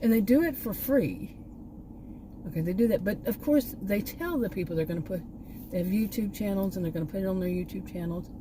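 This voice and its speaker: A woman vlogger chatting casually, meandering and restarting her sentences, her voice going hoarse. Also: medium pitch, brisk, background noise. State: losing her voice. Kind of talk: rambling casual chat. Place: outdoors.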